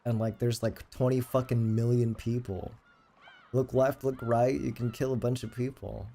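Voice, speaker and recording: Gravelly young man, casual streamer chat. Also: medium pitch, little pitch movement, moderate pace, mellow. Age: late 20s. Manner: rambling, profane, self-deprecating. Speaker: game livestreamer.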